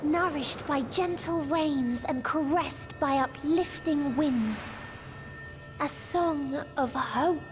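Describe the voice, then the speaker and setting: A woman voicing a gentle, sorrowful otherworldly girl in a video game cutscene, speaking in a soft, breathy, solemn and poetic way over music. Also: high-pitched, slow pace, low-quality recording. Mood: gentle hope.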